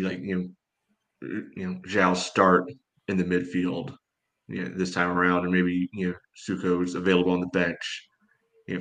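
A man's voice: sits low, a bit bright. A man co-hosting a soccer podcast in casual discussion, rambling and often dropping "you know" into his sentences. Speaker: soccer fan podcast co-host.

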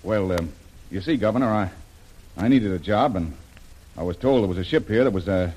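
An old radio recording with faint noise presents a plucky American sailor-adventurer, played by a male actor. He is a man with a lowish voice and a blunt, informal way of talking. The delivery is that of a classic adventure serial hero. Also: expressive, brisk, darkish tone, close to an everyday voice. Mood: sheepish, pleading. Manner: plain-spoken, informal, hesitant when cornered. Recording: noisy vintage radio drama recording.

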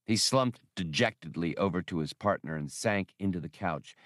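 A man narrates scripted fiction for an audiobook. His voice is fairly low, and the recording is dry, with little room around it. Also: moderate pace, a bit bright, no echo. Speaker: audiobook reader.

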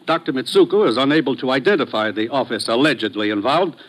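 An actor playing a serious, authoritative government commissioner giving an urgent briefing in an old radio drama. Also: male voice, medium pitch, expressive, quick pace, a bit bright, rough recording. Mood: grave. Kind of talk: 1950s radio drama acting.

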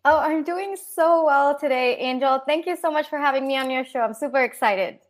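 A woman's voice: high-pitched, normal speed. A young woman from Singapore telling her own story as an interview guest, chatty, upbeat and easy-going. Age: young adult. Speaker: podcast guest, young entrepreneur. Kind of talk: conversational personal storytelling interview.

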